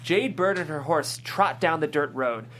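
A woman's voice: low voice, animated, moderate pace, slight background noise. A woman reading scripted stage directions as the narrator at a table read.

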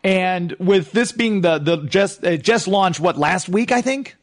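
High-pitched man, eager interview host. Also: animated, a little slow. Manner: eager, fannish. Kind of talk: casual interview chat.

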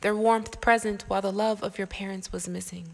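A woman narrating a poetic audio drama as a scripted piece, with dramatic, deliberate phrasing and pauses. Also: mid-range voice, fairly even intonation, creaky, a little hiss or hum. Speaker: poet and narrator.

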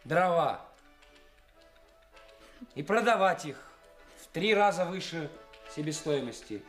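Brash young stage-player type, cynical and declamatory, unhurried delivery, radio drama over music. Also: male voice, a little high, animated. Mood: brazen, pompous. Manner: theatrical, declamatory, self-important. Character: young male actor posing as a brazen, cynical bridegroom. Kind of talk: radio play acting. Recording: radio play recording with music.

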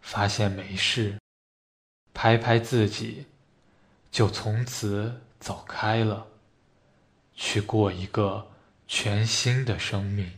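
Man playing resigned hospital patient near death, radio play, lowish pitch, unhurried, quiet, reflective. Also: steady tone. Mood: dreamy, reflective. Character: dying male hospital patient, calm, resigned, wondering. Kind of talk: amateur radio drama reading of a stage play.

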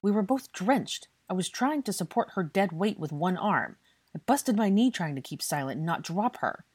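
A woman's voice: mid-range voice, very animated, moderate pace, a little mellow, breathy edge, no echo, pitched above the performer's own voice. A woman voicing a bitter, angry young man who scolds heatedly in an audiobook fantasy story. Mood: heated, defensive. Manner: heated, scolding, British swearing. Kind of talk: audiobook character dialogue.

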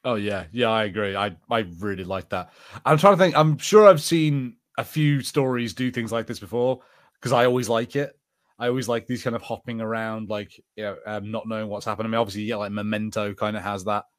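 Low-pitched man in casual podcast banter. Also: brisk, dry recording, studio quality. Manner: informal, jokey. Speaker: podcast co-host. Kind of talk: casual chat, episode review banter.

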